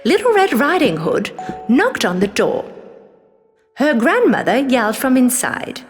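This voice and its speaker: A woman's voice: high voice, very animated, measured pace. A woman narrating a children's fairy tale as a scripted story. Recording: long reverb, clear music behind. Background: music.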